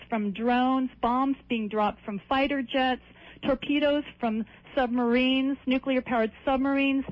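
An earnest woman speaking as a radio guest, her voice sitting a little high. She works through long lists of points. The audio is dull and dry, with no room sound. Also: dry recording. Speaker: journalist and campaign director, radio guest. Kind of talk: radio interview.

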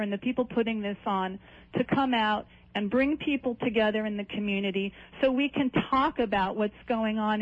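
A woman's voice: medium pitch, dry recording. A woman journalist speaking as a guest on a radio interview, earnest and listing things off one after another. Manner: earnest, reels off long lists. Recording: dull, dry radio audio.

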